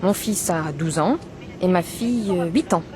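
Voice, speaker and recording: A woman acting in scripted language-course dialogue as a polite professional with clear delivery. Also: lowish voice, unhurried, a crowd in the background, lightly acted. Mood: friendly.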